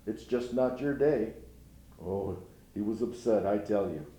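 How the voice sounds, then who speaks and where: A man's voice: lowish voice, unhurried, mellow, lightly acted. An actor playing a grieving older grandfather who speaks in a folksy, rueful way in a dramatic monologue. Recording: roomy echo.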